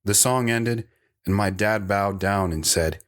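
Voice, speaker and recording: Reflective male drifter recounting his past in a radio play, acted by a man: low voice, first-person storytelling. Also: unhurried, natural voice. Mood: fond, nostalgic. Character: Travis, wandering man telling his life story, reflective.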